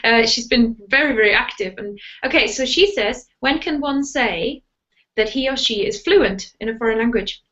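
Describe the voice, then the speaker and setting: A woman's voice: fairly high pitch, moderate pace, fairly bright tone, lo-fi sound. A woman with a German accent, a native German speaker, hosting a live interview and asking musing questions with many fillers. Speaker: host.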